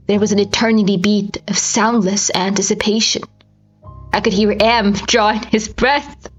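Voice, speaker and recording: A woman's voice: mid-range voice, steady tone, husky, dull audio, soft background music. A woman giving a very dramatic, theatrical reading of a horror story. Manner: theatrical, slow, with whispers and anguished outbursts. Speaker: amateur audiobook narrator.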